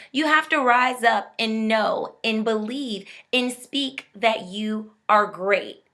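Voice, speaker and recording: A young woman with a bright, forceful, rather high voice gives a motivational talk and speaks straight to her viewers. She is emphatic and preachy, leaning on repeated phrases.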